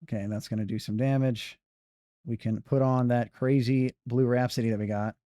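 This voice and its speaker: A man's voice: medium pitch, fairly even intonation, a little fast, mellow, no echo, clean studio sound. A man giving casual gameplay commentary as a speedrun streamer, rambling and correcting himself. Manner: rambling, self-correcting banter.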